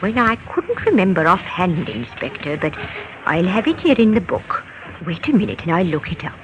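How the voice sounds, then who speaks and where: An actress playing a chatty, eager village postmistress in a vintage radio drama. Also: female voice, lowish voice, moderate pace. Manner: talkative, helpful, expressive. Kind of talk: 1950s radio drama acting. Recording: muffled, noisy old radio recording.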